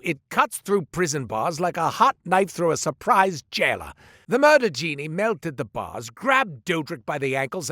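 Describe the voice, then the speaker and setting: A man's voice: on the high side, fairly bright tone, no echo, clean studio sound. A man voicing a chatty, eccentric male merchant in a game, telling tall tales with comic asides in a theatrical manner. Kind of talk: scripted game voice lines.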